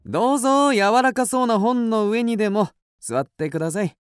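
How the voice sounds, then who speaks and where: An actor playing an easygoing, bookish detective in a radio drama, with a breezy, teasing, politely casual manner. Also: male voice, high-pitched, expressive, normal speed, a little hiss or hum. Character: easygoing, bookish male detective, amused and nonchalant. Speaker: detective character.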